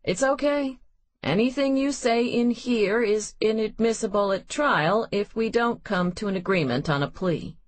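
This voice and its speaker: A man's voice: sits high, moderate pace, no room sound, close to an everyday voice. A woman voicing a brusque, cantankerous male defense lawyer with a dismissive manner. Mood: businesslike.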